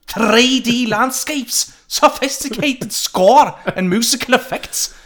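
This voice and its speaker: A man voicing an old pirate who delivers a grandiose sales pitch in a theatrical manner with an Irish accent. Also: a bit bright. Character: old pirate barfly hawking a game like a salesman.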